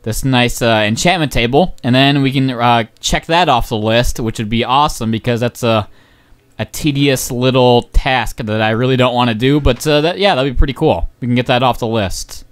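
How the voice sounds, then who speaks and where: A young man giving casual, rambling gameplay commentary as a channel host, excitable and swearing often, his voice going hoarse. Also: medium pitch, normal speed. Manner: rambling, excitable, swears, many ums and uhs.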